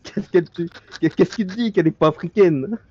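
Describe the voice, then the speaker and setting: A man's voice: high voice, moderate pace, darkish tone, breathy edge, dull audio. A male streamer reading in-game documents aloud during a co-op session, stumbling over words and breaking off into joking asides.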